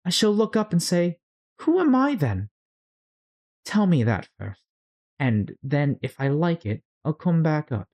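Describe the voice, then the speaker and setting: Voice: medium pitch, very animated, unhurried, a little mellow, pitched above the performer's own voice. A storyteller voicing a dramatic, bewildered young girl who frets and scolds herself in a storybook. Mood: gloomy, stubborn. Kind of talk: storybook character read-aloud.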